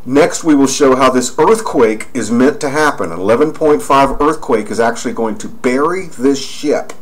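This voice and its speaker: A man's voice: medium pitch, expressive, moderate pace, rough recording. A man narrating over screen images, rambling and pointing out details as he goes. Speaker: video narrator.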